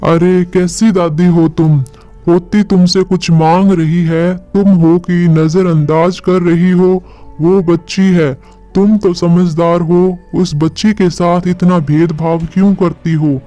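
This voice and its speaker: A man plays an indignant grandfather in a cartoon. The voice is high for a man, mellow and slow, full of reproach. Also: steady tone, faint music behind. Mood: indignant, reproachful.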